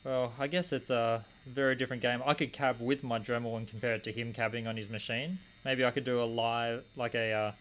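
Brief Young adult man, mid-pitched voice. Casual live-stream host who rambles and answers viewer comments as he works at a small home desk. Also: little pitch movement, fairly quick, few pauses, fairly bright tone. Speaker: stream host polishing gemstones. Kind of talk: casual live-stream chat while working. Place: small home desk corner with a rotary tool running.

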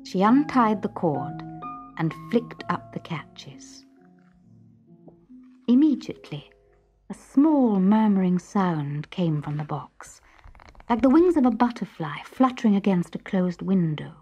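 Female storyteller for a children's myth: measured, slow, expressive delivery with music underneath. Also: medium pitch, husky, dull audio. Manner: expressive, deliberate, hushed at times. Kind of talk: scripted dramatic story narration.

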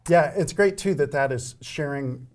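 A man in his forties hosting a tech conversation on a studio stage, relaxed and agreeable, often saying "yeah" and sharing his own developer experience. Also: on the high side, moderate pace. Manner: affable, agreeing, frequent "yeah" and "mm-hmm" backchannels. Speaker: show host and developer. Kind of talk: casual tech interview chat. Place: bright studio stage with screen behind.

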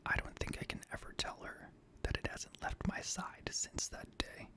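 Young man whispering ASMR horror narration. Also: normal speed. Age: young adult. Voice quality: breathy whisper. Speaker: host and reader of scary stories. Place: small indoor home studio room. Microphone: close boom-arm studio microphone.

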